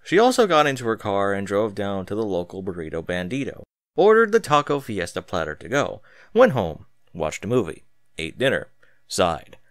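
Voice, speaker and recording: A man's voice: fairly low pitch, huge pitch swings, moderate pace, no room sound. A man reading scripted fiction aloud as a dramatic narrator, close to the microphone.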